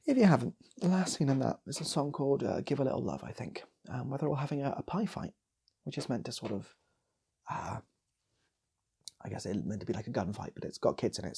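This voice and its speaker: Husky, creaky-voiced man telling a story. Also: fairly low pitch, a little mellow. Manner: rambling, repeats phrases, acts out characters. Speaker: storytelling host of an online channel. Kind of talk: improvised comic storytelling to an audience.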